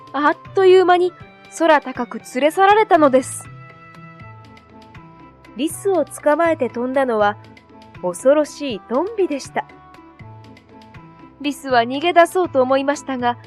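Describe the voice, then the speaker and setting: Female storybook narrator: high voice, scripted children's tale delivery, music bed underneath. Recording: soft music behind.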